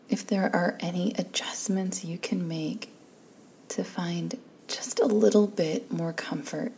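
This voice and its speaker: A woman leading a guided meditation in a soft, breathy, calm and soothing voice, leaving long pauses between phrases. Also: on the low side, a little hiss or hum. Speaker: guided relaxation instructor.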